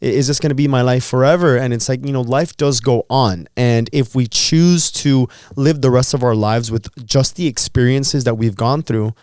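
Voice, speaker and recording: Earnest man in his thirties, smooth voice. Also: mid-range voice, moderate pace, hardly any pauses, darkish tone, no room sound, studio quality. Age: 30s. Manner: earnest, encouraging, repeats words when thinking. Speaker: podcast co-host. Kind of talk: casual motivational chat.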